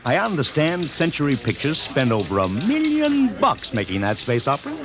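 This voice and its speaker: Casting: brash American ad promoter, lively swooping pitch, pushy slangy patter, old radio play. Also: male voice, over music. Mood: boastful, knowing. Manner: fast, slangy, persuasive patter. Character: brash, wheedling, cocksure publicity promoter. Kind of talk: 1950s radio drama acting.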